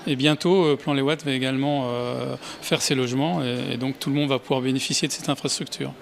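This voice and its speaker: A man's voice: mid-range voice, steady tone, fairly quick, creaky, a little hiss or hum. A man giving spontaneous interview answers as a local official, hesitantly searching for his words.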